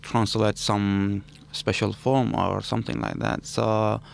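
Creaky low-voiced man with Arabic accent. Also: little pitch movement, slow pace. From Arabic-speaking country. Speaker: student, interview guest. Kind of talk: conversational storytelling in non-native English. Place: studio.

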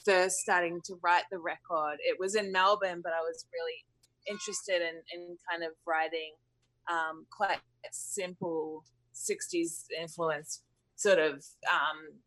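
A musician in her thirties from New Zealand talks through her answers slowly and thoughtfully. She hesitates often and fills the gaps with ums and "you know". Her voice sits fairly low. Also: fairly even intonation, fairly bright tone, low-quality recording. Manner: thoughtful, hesitant, many fillers. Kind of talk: interview answers.

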